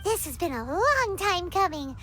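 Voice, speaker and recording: Tiny excitable game fairy. Extremely high, squeaky, swooping, over loud music. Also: female voice, normal speed. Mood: resigned, chatty. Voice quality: squeaky, childlike. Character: tiny excitable floating fairy companion, childlike and chatty. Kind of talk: scripted video game voice acting.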